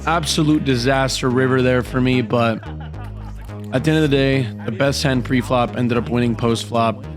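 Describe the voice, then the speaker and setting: Male vlogger, mid-range voice, a bit hoarse, punchy dramatic voice-over with music behind. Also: medium pitch, steady tone, normal speed. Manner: hyped, dramatic play-by-play. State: slightly hoarse from a cold. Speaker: poker vlogger. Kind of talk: voice-over narration of poker hands. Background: background music.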